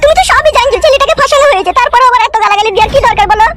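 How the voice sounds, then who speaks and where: Man putting on a squeaky, shrill pleading mother. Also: rapid-fire, heavy background noise. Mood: coaxing. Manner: placating, coaxing. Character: pleading, protective village mother and wife. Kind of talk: comic cartoon dub.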